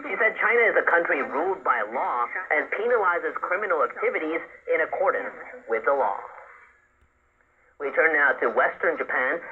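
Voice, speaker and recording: Male newsreader on thin, tinny shortwave radio. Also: a little high. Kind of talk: scripted news bulletin reading.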